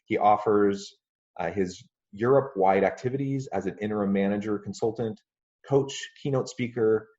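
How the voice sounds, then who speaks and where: Deep-voiced male podcast host. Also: a little mellow, lo-fi sound. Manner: friendly, measured, filler-heavy. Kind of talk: podcast interview.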